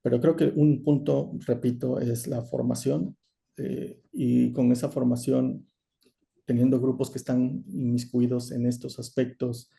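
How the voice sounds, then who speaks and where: A man giving an online lecture over a video call, explaining in a measured way with frequent "eh" and "¿no?". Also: medium pitch, little pitch movement, warm tone. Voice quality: gravelly. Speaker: course lecturer.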